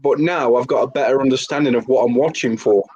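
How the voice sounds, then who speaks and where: A man's voice: mid-range voice, fast talker, darkish tone, muffled recording, slight background noise. A man speaking as a guest on a casual video chat, earnest and reflective.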